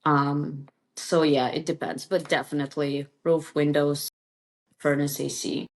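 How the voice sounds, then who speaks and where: A woman's voice: deep voice, level tone, measured pace. A woman whose first language is Russian, chatting casually and informally as a real estate agent being interviewed.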